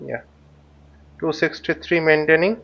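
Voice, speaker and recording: A man giving an unscripted flight simulator tutorial, thinking aloud haltingly and often muttering to himself. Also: on the high side, fairly even intonation, vocal fry, dull audio. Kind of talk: unscripted tutorial commentary while flying a simulator.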